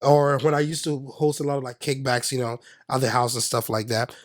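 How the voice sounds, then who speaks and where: A man's voice: mid-range voice, level tone, fairly quick, dry recording, clean studio sound. A man from Ghana with a Ghanaian accent, chatting as a podcast guest, agreeable and often searching for words. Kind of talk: casual interview chat.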